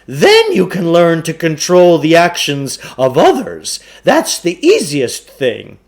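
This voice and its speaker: A man reading a children's novel, voicing a pompous, theatrical, eccentric salesman who lectures with deliberate self-importance. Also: a little high, wildly swooping pitch, heavily acted. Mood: grand lecturing. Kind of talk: children's novel read aloud in character.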